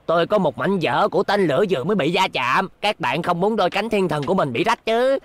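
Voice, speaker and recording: Chatty cartoon shopkeeper, high voice, eager salesman patter, dry sound. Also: expressive, fast talker, dry recording. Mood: eager selling. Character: chatty, eager male souvenir seller in space. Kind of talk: animated series dub acting.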